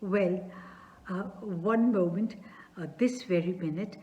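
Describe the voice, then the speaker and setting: A woman's voice: mid-range voice, takes it slowly, mellow, lo-fi sound, lightly acted. A woman reading aloud as a nervous, flustered male landowner who stammers while asking for a daughter's hand.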